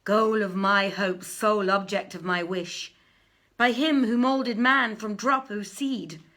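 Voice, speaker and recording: A woman voicing a weary, lovesick young prince in a storybook, declaiming in a fervent, drawn-out manner. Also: medium pitch, fairly even intonation, bright, forceful tone, voice pushed up. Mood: impassioned longing. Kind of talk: storybook verse recitation.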